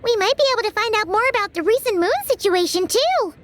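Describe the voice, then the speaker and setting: A woman voicing a small, childlike fairy companion in an anime video game, squeaky, chirpy and curious. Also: lively intonation, quick pace, a bit bright, slight background noise. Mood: eager. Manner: speaks of self in third person.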